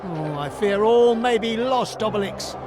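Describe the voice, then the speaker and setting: An actor playing a small, clever Gaulish warrior hero in a cartoon game, wry and assured. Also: male voice, sits high, lively intonation, a little slow, background noise. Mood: determined.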